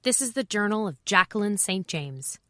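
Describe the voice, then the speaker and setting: A woman's voice: mid-range voice, lively intonation, moderate pace, fairly bright tone, no echo, clean studio sound, close to an everyday voice. An actress playing a wry, world-weary woman who narrates a spoken travel journal in an audio drama, reflective, often in clipped fragments. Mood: self-mocking, tired.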